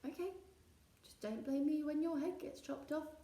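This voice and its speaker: A woman's voice: sits high, normal speed, dark tone, pitched above the performer's own voice. Roomy distant recording, woman as bossy mischievous boy, taunting, know-it-all, storybook reading. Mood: menacing, teasing. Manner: taunting, bossy, mock-authoritative. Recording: roomy echo, distant mic.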